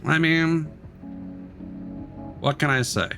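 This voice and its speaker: A man's voice: fairly high pitch, slow, a bit bright, soft background music. A man livestreaming a game, chatting casually in an easygoing, rambling, hesitant way. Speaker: live streamer. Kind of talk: casual game stream chat.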